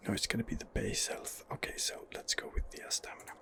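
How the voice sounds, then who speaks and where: A man softly narrating a video game in ASMR style, musing hesitantly as he thinks aloud. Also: fast. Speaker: gamer narrating a role-playing game playthrough. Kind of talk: whispered ASMR game commentary.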